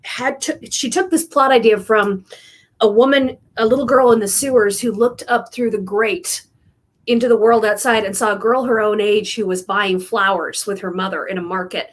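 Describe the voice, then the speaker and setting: A woman author on a video call, explaining a story plot in a measured way with small fillers. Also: mid-range voice, steady tone. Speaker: author interviewer. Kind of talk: casual conversation on a virtual event.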